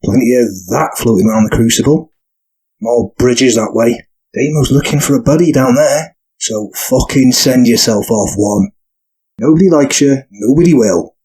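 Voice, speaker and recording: A man acting in an audio drama as a hostile, sneering small-time crook, husky, curt and sweary. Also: medium pitch, normal speed, dark tone, close to an everyday voice, poor audio.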